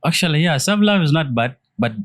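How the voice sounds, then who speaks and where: A man hosting a casual interview, informal and quick to interject agreement. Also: fairly high pitch, expressive, no echo, studio quality. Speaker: podcast host.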